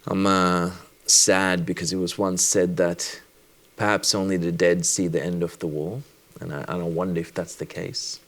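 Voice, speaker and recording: Deep-voiced, reflective male speaker. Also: little pitch movement, a bit bright. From Sri Lanka. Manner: reflective, measured, with deliberate pauses. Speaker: doctor giving a plenary talk. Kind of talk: personal conference talk with storytelling.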